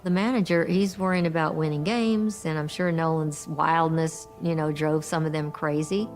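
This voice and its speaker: A woman's voice: low-pitched, steady tone, normal speed. An older woman recounting memories in an interview. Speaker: documentary interviewee. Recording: loud music under the interview.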